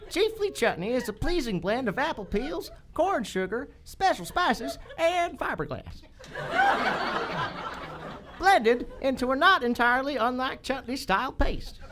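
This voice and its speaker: Woman acting a high-voiced, salesy storekeeper. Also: big pitch swings, a little slow, background noise. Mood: upbeat, salesy. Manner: exaggerated, upbeat sales pitch. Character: folksy, salesy male country storekeeper in radio ad. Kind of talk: parody 1940s radio ad acting.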